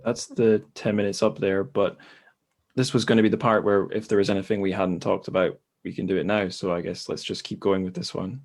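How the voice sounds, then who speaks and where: Male podcast host, slightly low voice, conversational, tentative phrasing. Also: little pitch movement, a little fast, a little mellow. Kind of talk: casual discussion.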